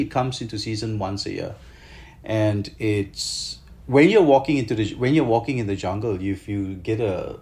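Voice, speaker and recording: Male chef as interview guest, Malaysian accent, lowish pitch, explanatory and somewhat halting delivery. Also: darkish tone. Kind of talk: conversational interview answers.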